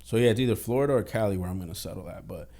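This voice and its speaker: Fast-talking young man, candid. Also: fairly low pitch, mellow. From Boston. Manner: streetwise, candid, heavy swearing. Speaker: podcast guest. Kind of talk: casual podcast conversation.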